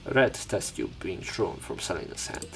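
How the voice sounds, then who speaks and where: Low voiced guy reading a visual novel out loud and commenting, bit of music behind him. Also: sing-song, normal speed, creaky. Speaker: let's play narrator.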